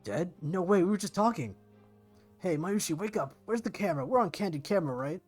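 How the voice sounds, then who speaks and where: A high-pitched, panicky young man in a visual novel acted out by a male reader, distraught and pleading. His voice is rough and husky, with music playing behind it. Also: expressive, a little fast, darkish tone. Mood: shocked, panicked. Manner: pleading, exclamatory.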